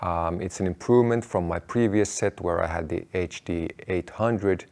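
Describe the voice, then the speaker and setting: A man hosting an explanatory video, talking to viewers in a measured, deliberate way, laying out his points in short phrases. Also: low voice, fairly even intonation, darkish tone. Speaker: host of an audio hobby video channel.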